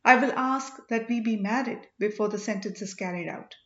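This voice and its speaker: Proud high-voiced young princess read by a woman. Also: moderate pace, fairly bright tone, lightly acted. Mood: defiant. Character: proud, strong-willed young princess, indignant and devoted. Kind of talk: audiobook character dialogue. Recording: roomy, slightly dull home recording.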